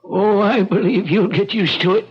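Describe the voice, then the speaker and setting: A man's voice: high-pitched, normal speed, breathy edge. An actor playing a courteous but sinister old man, speaking formally and cryptically in an old-time radio mystery. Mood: courteous, cryptic. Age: older. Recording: muffled, noisy vintage radio recording.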